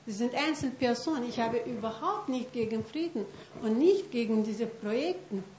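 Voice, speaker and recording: A woman in the audience presses her point in broken German with a somewhat high voice. The sound is roomy and echoey, dull, with a faint hiss underneath. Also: expressive, normal speed, a little mellow. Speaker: audience member. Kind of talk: comment from the audience.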